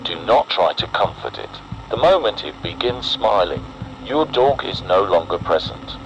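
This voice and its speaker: A man narrating a scripted horror emergency broadcast in a solemn, deliberate, ominous way. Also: on the low side, sing-song, lo-fi sound, background noise.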